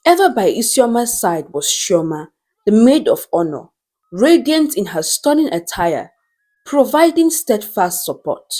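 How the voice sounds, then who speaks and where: A woman narrating a scripted story in a deliberate, dramatic storytelling style. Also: on the high side, sing-song, warm tone.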